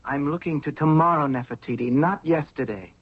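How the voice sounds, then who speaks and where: A man's voice: fairly high pitch, brisk, husky, no room sound. A man playing an idealistic, fervent young pharaoh in a 1970s radio drama, speaking in an earnest, declamatory way. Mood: earnest, troubled. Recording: tinny narrow-band old radio recording.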